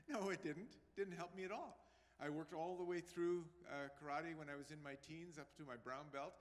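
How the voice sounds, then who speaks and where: A man in his sixties from Pakistan preaches an earnest sermon, talking straight to his congregation. His voice sits a little high for a man. The sound carries a long echo from a church hall. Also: moderate pace. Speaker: pastor.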